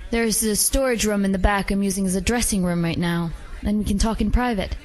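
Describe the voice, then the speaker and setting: A woman voicing a polite, gentle female werewolf dancer who is secretly an assassin, in a hobby audio drama. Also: medium pitch, steady tone, brisk, a faint crowd, natural voice. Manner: polite, gentle, softly coaxing.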